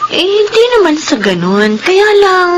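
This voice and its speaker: A woman's voice: extremely high pitch, big pitch swings, unhurried, noisy background, exaggerated voice. An actress with a Filipino accent playing an earnest, sentimental teenage girl in an emotive radio drama. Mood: hurt, wistful. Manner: emotive, plaintive. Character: earnest, sentimental teenage daughter, a twin sister.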